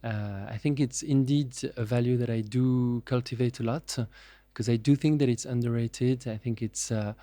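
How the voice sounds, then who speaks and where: A man, answering podcast questions in a measured, reflective way with frequent pauses and fillers. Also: mid-range voice, fairly even intonation, dark tone, no room sound. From France. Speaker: podcast guest. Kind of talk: casual interview.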